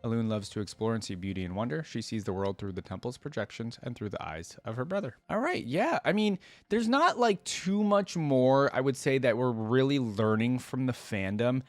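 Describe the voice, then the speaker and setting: A man's voice: mid-range voice, animated, normal speed, few pauses, no room sound. A man hosting a reaction video, reading wiki text aloud and thinking aloud in an informal, curious way.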